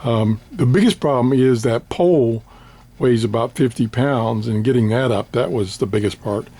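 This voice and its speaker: An older man, slightly hoarse, casually showing his radio antenna and its paperwork with many fillers and restarts. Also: medium pitch, normal speed, dark tone. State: slightly hoarse, clearing throat. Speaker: ham radio hobbyist vlogger. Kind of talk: casual show-and-tell.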